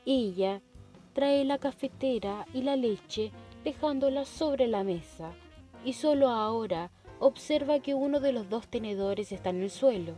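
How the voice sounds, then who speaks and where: A young Chilean woman narrating stage directions for a student radio play in a measured, deliberate way. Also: on the high side, dark tone. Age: young adult.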